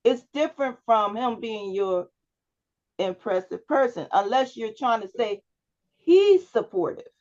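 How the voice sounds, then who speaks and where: A woman's voice: on the high side, lively intonation, a little slow, a little mellow, muffled recording. A woman public speaking instructor giving one-on-one feedback to a student over a video call, often checking in with "right?". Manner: coaching, checks understanding with frequent "right?".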